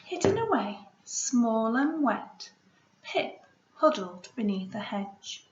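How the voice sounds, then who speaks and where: A woman, a primary school teacher, reading a storybook aloud to children in an expressive way, with hushed asides to her listeners. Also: a little high, slow, a little hiss or hum. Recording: roomy echo, slightly dull sound.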